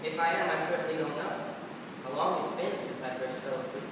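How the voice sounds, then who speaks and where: A man's voice: brisk, close to an everyday voice. An actor playing a lonely, philosophical, forgetful Sandman in a staged reading of a verse play, speaking in earnest, formal diction. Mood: puzzled, earnest. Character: lonely, philosophical, amnesiac dream-dwelling Sandman, male. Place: indoor performance space, far microphone. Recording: echoey hall from far off, noisy rumble.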